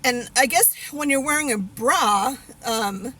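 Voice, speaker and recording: A woman's voice: high-pitched, measured pace, pushed and bright, low-quality recording. A woman in her fifties talking casually to the camera, candid and rambling with many fillers and restarts. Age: 50s. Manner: candid, laughs at herself. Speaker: vlogger.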